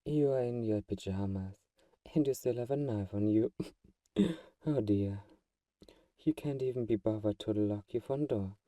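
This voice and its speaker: A very mellow, dark-toned male vampire, played by a man speaks in an intimate audio drama monologue. He is teasing and possessive toward his hunter friend, with a slightly low voice. The sound is very dry, with no room around it. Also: a little slow, pauses often, no room sound, far from the mic, close to an everyday voice. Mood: teasing, menacing. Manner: teasing, sighs and soft chuckles.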